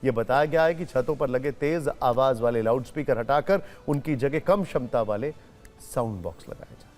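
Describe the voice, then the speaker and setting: A man's voice: mid-range voice, moderate pace, darkish tone, faint music behind. A man presenting television news as an anchor, explaining points with emphasis.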